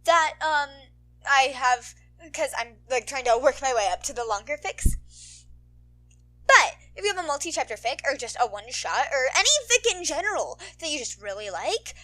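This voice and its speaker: An excitable woman with a high, bright voice talks casually to her listeners. She rambles and gushes in slangy speech full of "like". It sounds like an informal host's chat. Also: normal speed. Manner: gushing, excitable, slangy, frequent "like". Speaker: podfic reader and host.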